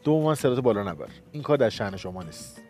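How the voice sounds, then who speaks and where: A man playing a calm, formal dating-show contestant in a comic sketch. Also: lowish voice, faint music behind. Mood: composed.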